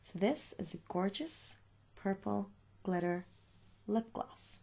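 Slow, husky soft-spoken ASMR woman. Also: medium pitch, expressive. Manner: slow, coaxing, asks little questions. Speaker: ASMR performer playing a makeup shop seller. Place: small room at home.

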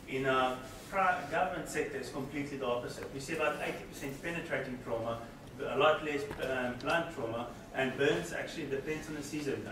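South African surgeon in an echoey lecture room. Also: male voice, mid-range voice. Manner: informal, hesitant, frequent ums and restarts. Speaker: trauma surgeon giving a keynote. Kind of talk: conference lecture. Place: darkened lecture room, standing at a lectern. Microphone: lectern mic picked up by a distant camera. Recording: echoey room, far camera, steady background noise.

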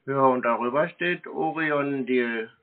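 A man's voice: mid-range voice, measured pace. Laconic man on a phone call. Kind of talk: casual phone conversation. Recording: narrow-band telephone call.

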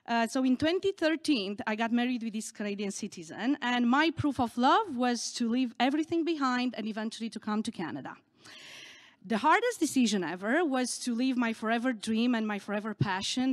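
Woman, native Romanian speaker, conference talk, podium mic, fairly high voice, dry sound. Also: no room sound. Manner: candid, earnest, slightly halting. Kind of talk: personal story told at a conference. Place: conference stage in a hall. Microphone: podium microphone.

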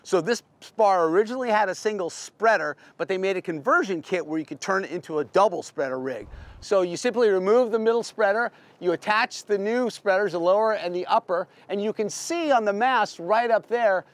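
A man's voice: high-pitched, brisk, dry recording. A middle-aged American man giving a casual, unscripted boat tour in a plain-spoken, folksy, explanatory way, like a veteran sailor.

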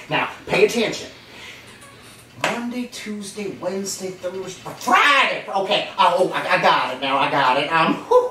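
A man's voice: high voice, sharp tone, pitched above the performer's own voice, exaggerated voice. A man voicing a jolly, talkative Texan cowboy who tells riddles and jokes, cackling at his own punchlines in a put-on Texan drawl. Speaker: children's entertainer. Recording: roomy, distant mic, faint noise.

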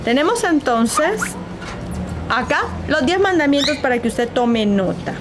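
A woman in her forties, a business coach, explaining money tips to camera in a lively way, with questions to the viewer, recorded outdoors on a balcony. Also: high-pitched, measured pace, over music, rough recording. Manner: lively, uses rhetorical questions and formal "usted" address. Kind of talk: informal financial advice talk to camera. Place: outdoor balcony overlooking hills.

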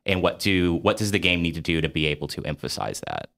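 A man's voice: low voice, fast, gravelly, a bit bright. A man hosting a casual podcast discussion about games, talking in a hesitant, wordy, circling way. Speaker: podcast host.

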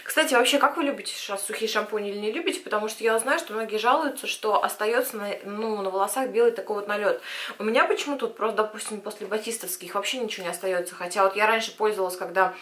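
A young woman in her twenties talks to her vlog audience in an informal, chatty way. Her voice is mid-pitched and a little husky and worn. She is recorded at home in a small room, talking into a camera held close. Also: fast talker, a bit bright. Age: 20s. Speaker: vlogger. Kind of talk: casual chat to camera.

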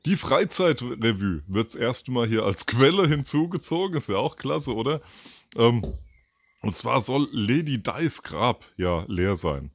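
A man's voice: mid-range voice, expressive, a little slow, no echo. A man in his fifties doing a casual solo commentary outdoors by a garden, rambling with many fillers and self-corrections, into a mic with a furry windscreen. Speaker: host of a commentary show. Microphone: furry windscreen mic near camera.

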